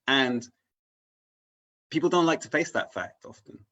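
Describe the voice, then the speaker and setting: A man talking casually and reflectively as a guest, haltingly searching for his words and often checking in with "right?". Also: mid-range voice, expressive, a bit bright, lo-fi sound. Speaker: interview guest on spirituality.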